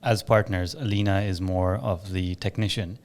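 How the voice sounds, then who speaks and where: A man's voice: low-pitched, steady tone, normal speed, a little mellow. A man hosting a podcast, chatting casually as he interviews a guest and shares his own experience as a business owner.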